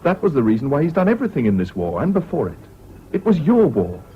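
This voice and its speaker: An actor playing a sober British army major who also narrates a 1970s radio drama, frank and dry in manner. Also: male voice, on the high side, wildly swooping pitch, fast. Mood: reproachful. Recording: dull, noisy old radio recording.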